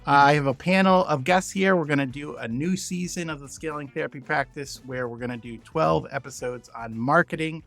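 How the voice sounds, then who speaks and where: A man's voice: on the high side, normal speed, creaky, hardly any pauses, a bit bright, faint music behind. A man hosting a podcast in a conversational style, friendly and informal as he introduces guests and segments. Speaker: podcast host.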